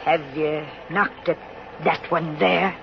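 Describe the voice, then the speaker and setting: An actress playing a kindly, concerned passer-by, asking questions gently in an old radio drama. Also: female voice, low voice, steady tone, slow pace, creaky, heavily acted. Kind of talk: 1930s radio drama acting. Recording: noisy, dull old radio recording.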